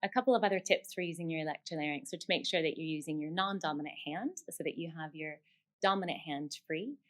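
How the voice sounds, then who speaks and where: A woman's voice: low voice, fast talker. A woman giving step-by-step instruction as a speech therapist, explanatory and encouraging.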